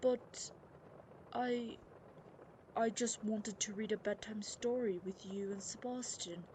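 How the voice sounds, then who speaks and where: A woman's voice: mid-range voice, steady tone, slow pace, dark tone, close to an everyday voice. A woman voicing a small, sleepy, stubborn young master in an anime ASMR roleplay, pleading in a reluctant, childlike way, on a noisy recording. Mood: whiny pleading.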